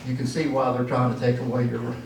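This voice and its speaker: Older man, gravelly, folksy stump speech, distant echoey room, faint hiss. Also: medium pitch, little pitch movement, fast talker. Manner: folksy, rambling, with frequent fillers. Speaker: political candidate. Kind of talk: campaign speech at a dinner. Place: church hall at a dinner event. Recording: echoey hall from far off.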